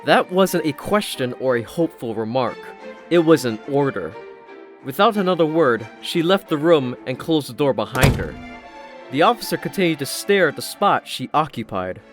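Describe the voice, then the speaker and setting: Slightly high-voiced male story narrator over music. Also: very animated, moderate pace. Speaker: audiobook narrator. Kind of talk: scripted first-person story narration.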